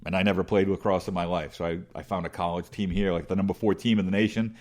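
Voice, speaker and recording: A man's voice: low voice, steady tone, fast, a little mellow. A middle-aged man with a big voice, telling rambling, self-deprecating stories as an interview guest with many restarts. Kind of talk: casual conversational storytelling.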